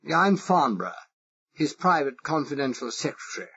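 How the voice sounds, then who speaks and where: A man's voice: fairly high pitch, animated, moderate pace, a bit bright, slightly rough, voice pushed up, heavily acted. Man reading: dithering posh English secretary, exaggerated upper-class accent, dull lo-fi sound. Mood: flustered posh. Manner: flustered, dithering, pompous. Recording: dull lo-fi recording.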